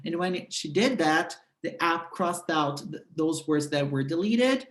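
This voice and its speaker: A speaker whose first language is Portuguese walks through an app step by step, explaining in a halting way with frequent fillers. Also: mid-range voice, lively intonation. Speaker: presenter demonstrating a language-exchange app. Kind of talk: screen walkthrough tutorial. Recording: roomy echo.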